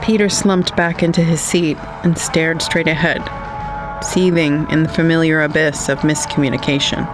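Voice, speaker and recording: A man reading scripted literary narration for an audio drama in a measured storytelling manner. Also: on the high side, level tone, rough recording. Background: music.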